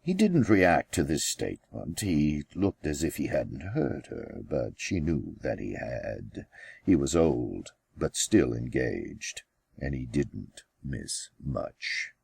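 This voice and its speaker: A man reading his own fiction as an audiobook, with deliberate, dramatic phrasing and pauses. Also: rumbling low, very animated, creaky, a little mellow, husky, no room sound, studio quality. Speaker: author reading own novel. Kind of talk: scripted audiobook narration.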